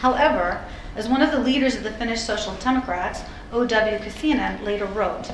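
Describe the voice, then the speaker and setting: A woman giving a scripted history lecture in a measured, articulate way, with the occasional informal aside. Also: a little high. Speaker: lecturer presenting a historical talk. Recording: roomy echo with faint background noise.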